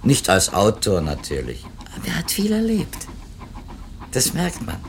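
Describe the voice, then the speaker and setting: A man's voice: on the low side, wildly swooping pitch, a little slow. An actor playing a seasoned, matter-of-fact male publishing editor in a 1970s German radio drama, speaking dryly and emphatically. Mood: firm, matter-of-fact. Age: middle-aged or older. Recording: noisy 1970s radio drama, train ambience.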